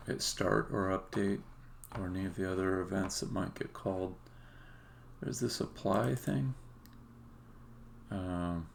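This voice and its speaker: A man thinking aloud in a halting, casual way as he live-streams his game development work, often muttering to himself. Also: lowish voice, creaky, a little hiss or hum.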